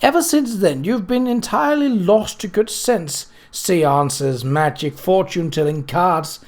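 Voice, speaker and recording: A man's voice: sits high, a little slow. A man voicing a cross, pious middle-aged widow in a storybook, indignant and sanctimonious. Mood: scornful.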